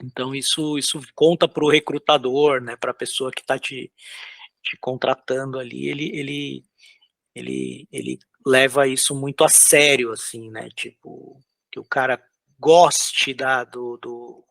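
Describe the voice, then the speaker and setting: A man from São Paulo, a concept artist, chatting casually in an interview while drawing, with loose, halting speech full of fillers. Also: medium pitch, level tone, creaky, a bit bright, no room sound. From São Paulo, Brazil. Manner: loose, hesitant, lots of filler words and restarts.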